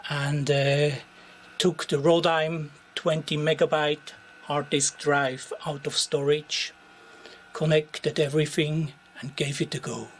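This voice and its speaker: A Swiss man with a Swiss German accent, a native Swiss German speaker, showing his retro computer collection in a slow, deliberate, pausing way. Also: fairly high pitch. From Switzerland. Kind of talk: show-and-tell narration.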